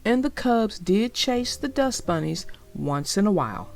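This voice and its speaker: A woman's voice: mid-range voice, very animated, unhurried, creaky, a little mellow, faint music behind. A woman narrating a children's storybook as a read-aloud for young listeners.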